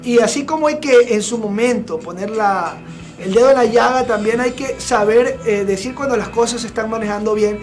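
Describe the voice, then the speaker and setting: A young man hosting a TV studio show, giving blunt, opinionated commentary with many filler pauses. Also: sits high. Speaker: TV show host. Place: TV studio set with sofa. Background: background music.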